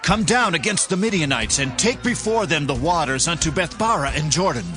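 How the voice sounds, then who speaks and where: An actor playing a bold Israelite war leader in a dramatized Bible reading, speaking in a declamatory, emphatic way. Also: male voice, fairly high pitch, expressive, brisk, over music, natural voice. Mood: urgent summons. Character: Gideon, adult male Israelite war leader, commanding and bold.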